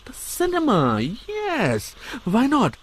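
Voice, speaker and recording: An actor with an Indian accent playing an excitable, blustering middle-aged novelist in a radio drama. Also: male voice, very high-pitched, takes it slowly, heavily acted. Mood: flustered. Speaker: actor playing the detective's comic companion.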